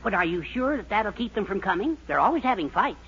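A man's voice: high-pitched, sing-song, quick pace, fairly bright tone, exaggerated voice. A man playing a fretful, fatherly middle-aged man in a 1940s radio sitcom. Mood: puzzled. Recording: muffled, faintly noisy old radio recording.

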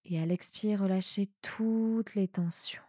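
A woman with a soft voice guiding a relaxation meditation in a calm, soothing manner with measured pauses. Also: mid-range voice, slightly rough, no echo, clean studio sound. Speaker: yoga nidra guide. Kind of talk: guided meditation, relaxation instruction.

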